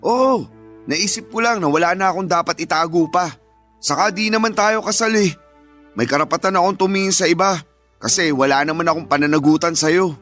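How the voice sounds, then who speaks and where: High-voiced, whiny young husband. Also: male voice, fairly quick, a bit bright, soft background music. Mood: defensive, blunt. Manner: whining, defensive. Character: lazy, selfish young cheating husband, whiny and shameless. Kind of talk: Filipino radio drama acting.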